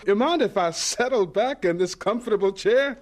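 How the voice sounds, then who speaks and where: An actor in an audio drama playing an earnest, devout and gentle agriculture teacher who is deferential to his superiors. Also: high-pitched, expressive. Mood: hesitant, deferential. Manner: measured, hesitant and stammering with superiors.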